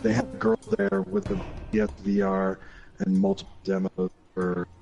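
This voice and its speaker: Deep-voiced, halting man over loud game music. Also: very animated, gravelly, muffled recording. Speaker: co-host on a gaming livestream. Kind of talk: casual chat while playing a video game. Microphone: online voice chat.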